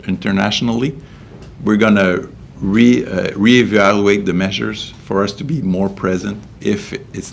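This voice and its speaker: A low-voiced Québécois man speaks as a police official at a press briefing. His voice has a gravelly creak, and his delivery is measured and hesitant. Some noise sits behind him. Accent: Québécois French. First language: French. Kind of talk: press conference answers.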